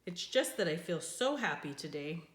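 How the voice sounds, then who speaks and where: A woman voicing an eager, excitable and stubborn young girl in a storybook. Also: fairly low pitch, moderate pace. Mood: happy, insistent. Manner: eager and impatient. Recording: echoey room.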